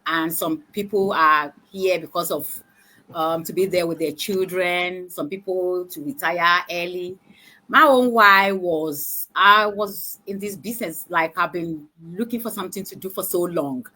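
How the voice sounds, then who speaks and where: A woman's voice: on the low side, unhurried, sharp tone. A woman on a live stream panel telling her own story in an earnest, hesitant way with many fillers. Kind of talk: personal testimony in a live stream.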